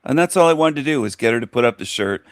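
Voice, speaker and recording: A man's voice: mid-range voice, expressive, quick pace, dry recording, clean studio sound. A man on a casual livestream, teasing the host in a mock rant.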